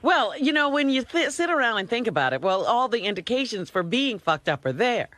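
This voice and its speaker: A man's voice: very high-pitched, big pitch swings, a little fast, sharp tone, no echo. A man co-hosting a radio show, chiming in with prodding questions.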